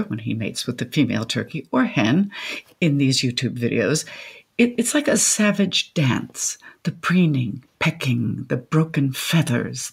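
A woman's voice: very deep voice, gravelly, slightly rough, exaggerated voice. An older woman playing a brazen, cranky widowed grandmother in a comic radio play, acerbic, theatrical and contrary. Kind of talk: comic audio drama acting.

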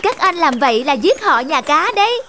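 A woman's voice: high-pitched, very animated, moderate pace, background noise. A woman voicing a small, sensible, exasperated forest bird in a cartoon. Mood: exasperated scolding. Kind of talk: cartoon voice acting.

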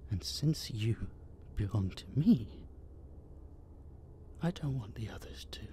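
A man playing a possessive, obsessive masked kidnapper in an intimate roleplay audio drama, coaxing and menacing in a breathy, gravelly, teasing whisper. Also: mid-range voice, animated, dark tone, slight background noise. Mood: commanding, possessive. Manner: slow, dominant, cooing and teasing.

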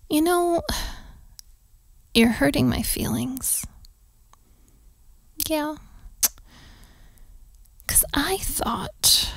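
A woman performs a needy, playful girlfriend in an intimate ASMR roleplay. Her voice is fairly high with some vocal fry, and she speaks very slowly, teasing and coaxing, often slipping into breathy whispers close to the microphone. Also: no echo, full character voice. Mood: mock hurt pouting.

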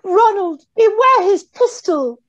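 Theatrical lady heroine, slow, swooping delivery, fretful, romantic, old radio melodrama, lo-fi sound. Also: very high voice, animated, heavily acted. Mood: frightened. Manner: theatrical, fluttery. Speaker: actor. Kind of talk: 1930s radio acting. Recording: dull lo-fi audio.

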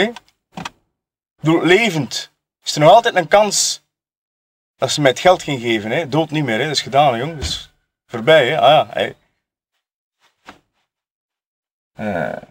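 An actor with a Flemish accent playing a sleazy, cocky photographer and dealer who taunts in colloquial, smug speech. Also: male voice, mid-range voice, expressive, normal speed, pauses often, a bit bright. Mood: self-righteous, mocking. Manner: colloquial, taunting, tag questions, chuckling self-justification. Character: sleazy, cocky male photographer and drug dealer, smugly mocking. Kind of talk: audio murder-mystery game acting.

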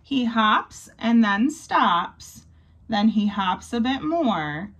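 Slow-reading young woman with slight creak. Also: fairly high pitch, lively intonation, a bit bright, highs cut off. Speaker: volunteer storybook reader. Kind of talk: reading a children's picture book aloud. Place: small wood-panelled bedroom indoors.

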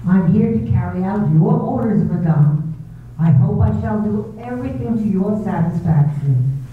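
A cold, resentful housekeeper acted by a woman in a radio play, stiff and deliberate in her deference. The sound is thin and phone-like, in a very echoey space, picked up from a distance. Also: mid-range voice, lively intonation, natural voice. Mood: coolly formal. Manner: formal, deliberate, coolly deferential. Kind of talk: readers-theater staging of a 1938 radio drama. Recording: roomy, thin, distant, faintly noisy stage recording.

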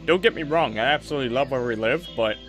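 A man playing an easygoing but firm father, a veteran exorcist in a Minecraft roleplay, talking in a relaxed, reassuring way. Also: medium pitch, quick pace, faint music behind, lightly acted. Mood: calm, fatherly. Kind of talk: improvised Minecraft anime roleplay.

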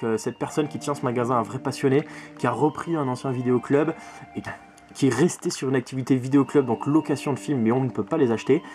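A young man talking casually and emphatically to camera as he presents his video game collection, recorded at arm's length in a bedroom. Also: mid-range voice. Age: young adult. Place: small bedroom full of games, indoors. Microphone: camera mic at arm's length.

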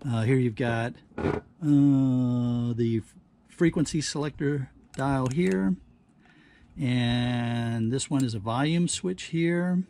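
A man giving casual hands-on demonstration commentary, halting and thinking aloud as he works at a workbench. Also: mid-range voice, mellow. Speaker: host of a scrapping how-to channel. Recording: clear background noise, handling clicks.

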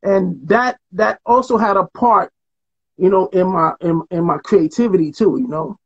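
A man's voice: high-pitched, normal speed, slightly rough, muffled recording. Laid-back Trinidad-born man on a video call. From Trinidad and Tobago. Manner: laid-back storyteller, slangy, laughs often. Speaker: artist guest. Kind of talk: casual interview chat. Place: home room with painted walls. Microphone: video call webcam.

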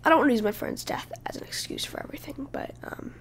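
A woman hosting her own podcast, speaking casually while hoarse from losing her voice, halting and often dropping to a breathy whisper. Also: high voice. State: hoarse, lost voice. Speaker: podcast host. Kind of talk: casual solo podcast talk.

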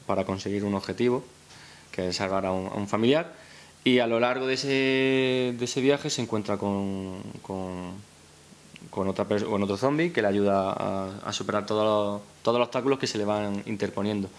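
A young man with a Spanish accent from Spain, speaking unscripted at a press conference and hesitantly repeating words as he goes. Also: on the low side. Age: young adult. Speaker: film director. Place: indoor press conference room. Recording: hissy press-room recording.

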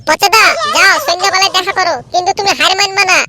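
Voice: extremely high pitch, steady tone, fast, heavy background noise. A performer voicing a hot-headed, insistent young club boy in a comedy cartoon. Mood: defiant. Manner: insistent, bossy. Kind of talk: Bangla comedy cartoon dubbing.

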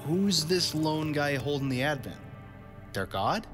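A man's voice: fairly high pitch, sing-song, a little slow. A man playing a cocky, practical adventuring sidekick in an audio drama, with a casual, wry delivery. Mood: curious, wry. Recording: loud music under the voice.

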